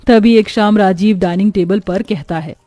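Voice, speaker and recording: A woman's voice: medium pitch, normal speed, mellow, dry recording. A woman giving scripted narration of a family story.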